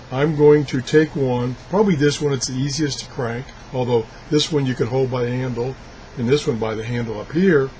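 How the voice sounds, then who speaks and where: A man giving a casual, unscripted product demonstration as a video blogger, thinking aloud haltingly as he handles things. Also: mid-range voice, a little mellow, a little hiss or hum, low-quality recording. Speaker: video blogger reviewing gadgets.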